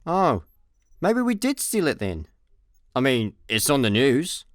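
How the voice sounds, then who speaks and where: A man's voice: medium pitch, wildly swooping pitch, measured pace, no room sound, studio quality. A man with a Scottish accent playing a laid-back, dim, easily distracted slacker in a comedy sci-fi radio play. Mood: puzzled. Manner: casual, offhand.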